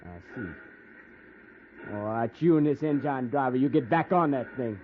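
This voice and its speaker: A man's voice: medium pitch, normal speed, natural voice. A man playing a menacing, greedy train robber gang leader in a 1950s radio western, with an American accent and bossy, folksy talk. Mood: smugly menacing. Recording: tinny, band-limited old radio recording, faint noise.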